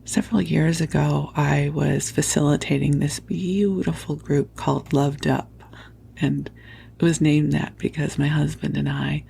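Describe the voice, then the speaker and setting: A woman whispering softly and tenderly into a close microphone as she hosts a spiritual sleep podcast, soothing and affectionate. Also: sits low. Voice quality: hushed, breathy whispering. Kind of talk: whispered guided sleep talk, spiritual meditation. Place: at home under a blanket.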